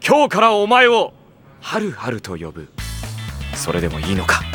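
A sardonic high-school boy over prominent music. His middling voice swings widely with dry exasperation, as if forever putting up with nonsense in an anime audio drama. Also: normal speed. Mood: needling. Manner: dry, wry, deadpan delivery. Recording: music behind the voice.

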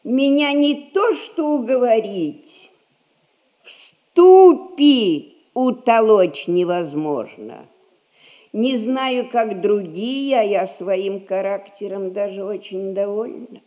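An actress playing a stern, rich old grandmother who rules a merchant household, speaking in measured, folksy old-fashioned phrases in a stage comedy. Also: mid-range voice, lively intonation, dark tone. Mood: coolly stubborn. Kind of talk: Russian stage comedy recorded as radio play.

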